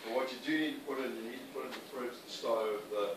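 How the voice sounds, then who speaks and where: A man with a loud voice speaking to a group on a property tour. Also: mid-range voice, quick pace. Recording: distant, roomy, with loud background noise.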